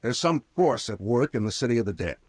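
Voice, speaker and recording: An actor playing a brisk police captain in an old-time radio mystery, clipped, commanding and sardonic. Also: male voice, mid-range voice, animated, slightly rough, no echo, clean studio sound. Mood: deliberate.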